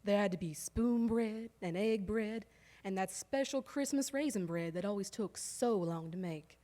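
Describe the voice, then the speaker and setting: Wry, harried old-time Southern housewife played by an actress. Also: female voice, mid-range voice, moderate pace, warm tone, natural voice. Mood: relishing. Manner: wry, emphatic, lingering over lists. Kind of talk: theatrical storytelling monologue on stage. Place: live stage performance in a recreation hall.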